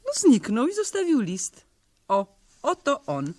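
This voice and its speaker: A woman's voice: a little high, huge pitch swings, unhurried, warm tone, dry recording, exaggerated voice. An actress playing a spirited, teasing young woman in a 1970s radio play, with a pointed and slightly ironic delivery.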